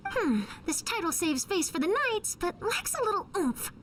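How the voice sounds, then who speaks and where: A woman's voice: extremely high pitch, sing-song, moderate pace, a bit bright, slight background noise, full character voice. A woman playing a perky, eccentric young female reporter in a video game, with theatrical headline flair. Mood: musing, dramatic.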